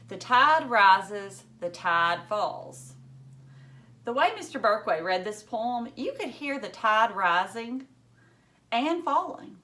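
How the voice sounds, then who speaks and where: A woman teacher reading a story aloud to children in an expressive way, emphasizing key words. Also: medium pitch.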